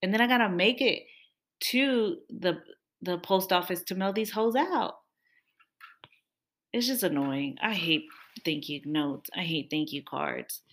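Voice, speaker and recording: A woman's voice: on the low side, animated, measured pace, long pauses, fairly bright tone. Chatty, laughing young woman. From Mexican-American family. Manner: chatty, laughs often, playful complaining. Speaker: podcast co-host. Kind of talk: casual chat with partner.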